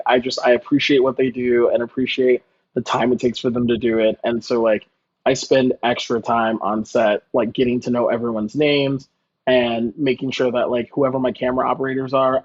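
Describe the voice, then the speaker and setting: Male chef guest, casual and anecdotal, mid-pitched voice on slightly lo-fi audio. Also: breathy edge. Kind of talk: casual interview chat.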